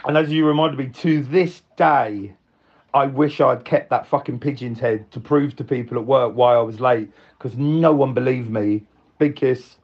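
A man's voice: medium pitch, moderate pace, dull audio, slight background noise. A man telling a swearing anecdote in a voicemail to a podcast. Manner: swearing storyteller. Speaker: caller.

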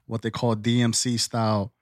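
A man's voice: medium pitch, flat delivery, moderate pace, no echo, clean studio sound. A man from the Bronx, a DJ guest on a podcast, talking in an easygoing way with ums and small laughs. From the Bronx, New York. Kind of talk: casual podcast interview.

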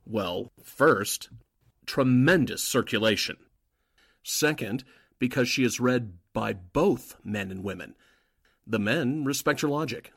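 An eager male informant played by a man in a wartime radio thriller, respectful to his superiors and quick to fluster. His mid-pitched voice is a little husky and jumps about expressively. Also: lively intonation, heavily acted. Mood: deferential, earnest. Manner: deferential, earnest, easily flustered. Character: eager, deferential male informant for Nazi agents. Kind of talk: 1940s radio drama acting.

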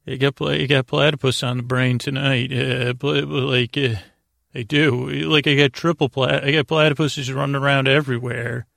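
A man hosting a bedtime podcast in a rambling, meandering monologue, often circling back and losing his thread, with a creaky voice. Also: medium pitch, fairly even intonation, normal speed, a bit bright, dry recording, clean studio sound. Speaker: podcast host.

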